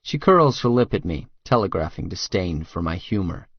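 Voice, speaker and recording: A man's voice: low-pitched, big pitch swings, moderate pace, breathy edge, no echo, muffled recording, exaggerated voice. A man narrating first-person fiction as a tough, crude, brooding hitman, terse and guarded. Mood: grim, guarded. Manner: terse, hard-boiled, profane. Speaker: audiobook narrator.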